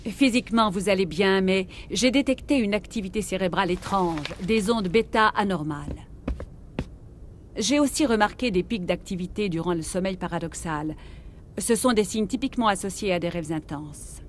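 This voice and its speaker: A middle-aged military doctor, played by an actress in a video game. She is calm and clinical, with matter-of-fact delivery. A faint rumble runs behind her voice. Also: moderate pace, close to an everyday voice. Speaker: ship's doctor. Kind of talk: dramatic video game dialogue.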